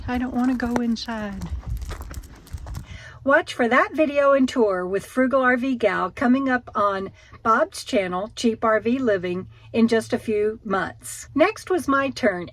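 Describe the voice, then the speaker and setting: A woman's voice: a little high, dry recording. A woman narrating her travel vlog in a chatty, friendly storytelling manner. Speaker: vlogger narrating. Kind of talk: voice-over narration.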